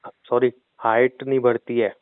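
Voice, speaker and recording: A man's voice: medium pitch, flat delivery, unhurried, clear, resonant tone, dry recording. A young man teasing his girlfriend in a comedic phone call, playful and mocking. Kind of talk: scripted comedic phone banter.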